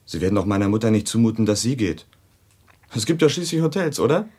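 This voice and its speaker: Wry, husky grown son. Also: male voice, fairly low pitch, big pitch swings, fast talker, darkish tone. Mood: cool, polite. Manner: laconic, amused, slangy. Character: wry, casual grown son of a quarrelling family. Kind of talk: German radio drama acting.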